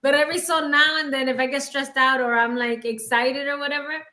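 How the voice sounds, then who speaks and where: Woman co-host, New York and Spanish accent, slangy and quick to laugh, a touch high. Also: steady tone, sharp tone, echoey room. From New York, the Bronx. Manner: playful, laughs often, slangy. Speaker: podcast co-host. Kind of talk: casual chat.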